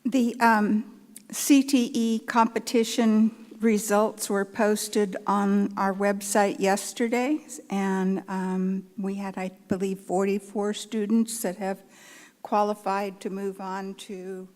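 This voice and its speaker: A woman on a school board makes an enthusiastic, casual announcement at an unhurried pace, pausing often on "um". Her voice sits at a middle pitch. It echoes with the long reverb of a big meeting hall. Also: fairly even intonation, darkish tone. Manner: enthusiastic, informal. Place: public meeting room.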